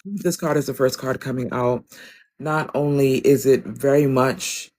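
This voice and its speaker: A woman with a raspy, deeper-than-usual voice, chatting loosely through an oracle card reading on a phone livestream. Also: steady tone, a little slow, darkish tone. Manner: loose, rambling, with many ums and asides. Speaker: tarot and oracle reader.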